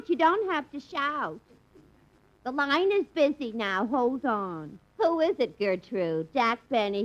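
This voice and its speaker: A woman's voice: high voice, bright, forceful tone, heavily acted. A woman playing chatty wisecracking telephone operators in a 1950s radio comedy, with a brassy manner. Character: two wisecracking female switchboard operators. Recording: lo-fi old radio broadcast with studio audience.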